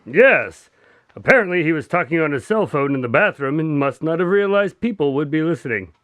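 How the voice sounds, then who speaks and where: A man acting an easily exasperated detective's sidekick in a modern radio drama, with an expressive, indignant manner. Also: a little high, a little fast, dry recording. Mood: doubtful, insistent.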